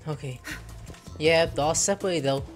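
A man's voice: fairly high pitch, normal speed, soft background music. A gaming streamer giving crude, playful commentary while he plays at home, often jumping into shrieky joking voices. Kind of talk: casual gameplay commentary. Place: home room with webcam.

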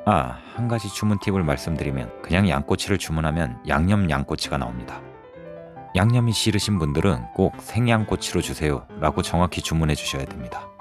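A man narrating a restaurant review vlog in a lively, descriptive way, recorded in a busy barbecue restaurant. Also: extremely low pitch, brisk, over music. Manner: lively, descriptive, chatty with friends. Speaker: food vlogger.

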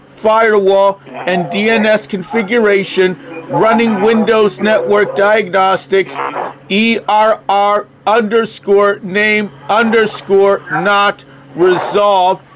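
Slow, deliberate high-pitched man. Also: background noise. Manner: deliberate, halting, literal. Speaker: phone call recipient stringing a caller along. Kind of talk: slow phone conversation, spelling and reading aloud.

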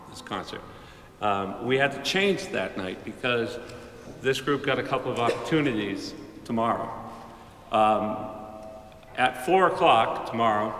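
A middle-aged man, a high school chorus director, chatting casually to a concert audience from the stage with plenty of ums and asides. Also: a bit bright, a little hiss or hum. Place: large school auditorium stage. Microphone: mic on a stand, speaking from among the choir. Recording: roomy hall reverb with faint audience ambience.

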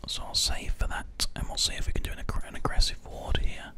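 A video game host giving whispered ASMR play-by-play, thinking aloud in an unhurried, hushed way close to a binaural microphone. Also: soft background music. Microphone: binaural microphone, close to the ear. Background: computer keyboard typing and mouse clicks.

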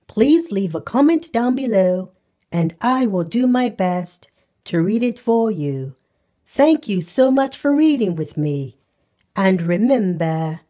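A woman voicing a friendly furry animal puppet, reading a storybook to young children with cheerful, deliberate, singsong enunciation over music. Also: mid-range voice, warm tone. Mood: cheerful, encouraging. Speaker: children's read-aloud host. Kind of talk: slow storybook read-aloud for young children. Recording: music under the voice.

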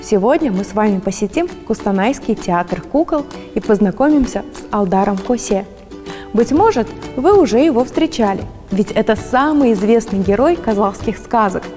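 Female lecturer, warm storytelling read for kids, soft music bed. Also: mid-range voice, expressive, normal speed, long reverb tail. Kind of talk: scripted narration for children.

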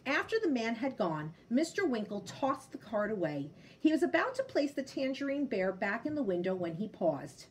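A woman in her thirties reading a storybook aloud to children with an expressive delivery. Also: a little high, normal speed, few pauses, slight background noise. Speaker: storybook reader.

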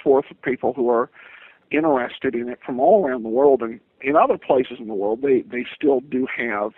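A man answering interview questions as a podcast guest, speaking in a halting, hesitant, stop-start way over a lo-fi line. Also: medium pitch, breathy edge, no echo. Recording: tinny lo-fi phone-like line.

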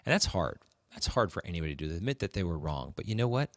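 A man co-hosting a conversational advice podcast, in a halting way with repeated phrases. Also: low-pitched, very animated, no room sound, clean studio sound. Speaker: podcast co-host. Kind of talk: conversational talk on marriage advice.

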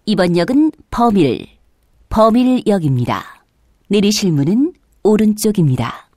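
A woman's voice: mid-range voice, sing-song, a little slow, dark tone, no room sound, clean studio sound. A woman reading scripted subway station announcements in a formal, carefully enunciated manner. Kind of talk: scripted public transit announcement.

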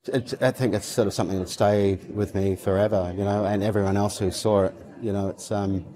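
A man in his fifties speaking in a halting, reflective way, as an artist giving a documentary interview in a quiet room. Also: on the low side, steady tone, poor audio. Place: quiet indoor room, seated.

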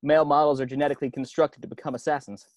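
A man playing a fast-talking cocky conspiracy insider. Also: fairly high pitch, little pitch movement, a little mellow, no echo, studio quality, lightly acted. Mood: grave, confiding. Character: conspiratorial former hand model, cocky and gravely secretive. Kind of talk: amateur table read of a comedy film script. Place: indoors at home on a video call. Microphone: video call.

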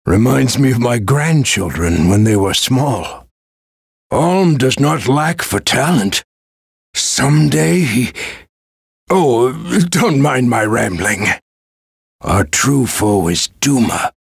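Old knight, game character, humble, grave, husky, slow, dry close recording. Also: male voice, medium pitch, expressive, dry recording, full character voice. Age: older. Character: humble, stern old knight, gentle grandfather. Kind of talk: video game character voice acting.

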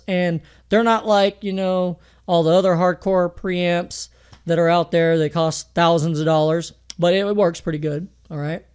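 Man, high thin voice, casual rambling tutorial talk, home studio mic. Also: darkish tone. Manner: casual, rambling, with many fillers and restarts. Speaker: music producer teaching mixing. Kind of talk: screen-recorded tutorial talk.

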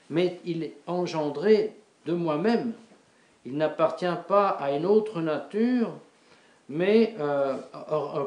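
Slow, halting priest in an echoey chapel. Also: male voice, on the high side, darkish tone. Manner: halting, with many repeated words and fillers. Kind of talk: sermon. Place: small wood-panelled chapel before an altar.

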